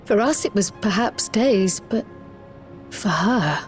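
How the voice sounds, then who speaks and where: An actress playing a calm, observant, thoughtful woman in a game cutscene, composed and reflective. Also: medium pitch, slow, faint music behind. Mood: subdued. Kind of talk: dramatic video game cutscene acting.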